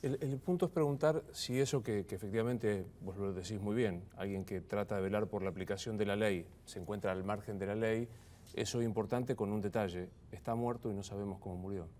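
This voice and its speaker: A man conducts a studio interview in a low, mellow voice. His questions come in a relaxed, conversational way, but they keep steering back to the point. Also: brisk, slight background noise. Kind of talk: television interview. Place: television studio.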